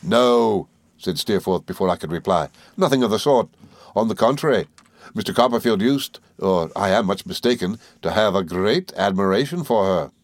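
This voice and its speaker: A man playing a smooth, confident young gentleman. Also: fairly low pitch, expressive, dry recording. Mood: teasing. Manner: smooth, teasing, deliberate.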